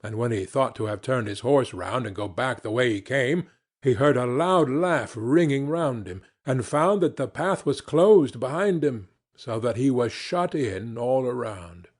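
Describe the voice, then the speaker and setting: A man narrating a fairy tale as an audiobook reader, in an expressive and measured storytelling manner. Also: medium pitch, slightly rough.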